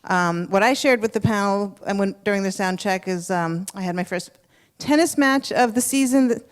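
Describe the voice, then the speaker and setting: A woman's voice: mid-range voice, moderate pace. A middle-aged woman giving a plain-spoken, casual introduction of herself to a live audience. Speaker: panelist, accountant. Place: church panel before an audience.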